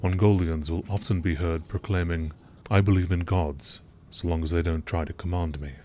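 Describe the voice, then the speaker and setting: A man reading scripted lore in a nature documentary narration style, with measured, deliberate phrasing. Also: deep voice, steady tone, dark tone. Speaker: video creator reading game lore aloud. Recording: clearly noisy background.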